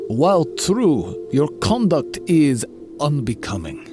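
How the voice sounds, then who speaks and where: A man performs a stern super-soldier commander who speaks with slow, chilling calm and a somewhat high, swinging voice. Faint music sits behind him in this audio drama. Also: exaggerated voice. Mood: menacing. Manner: cold, slow, threatening.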